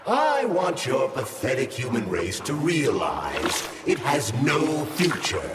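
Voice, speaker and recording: Slow, echoing, contemptuous inhuman villain. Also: male voice, mid-range voice, wildly swooping pitch. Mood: grandiose. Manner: grandiose, disdainful speechifying. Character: cold, contemptuous villain, no longer human, megalomaniac. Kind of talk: dramatic video game cutscene acting. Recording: echoey hall reverb under loud music.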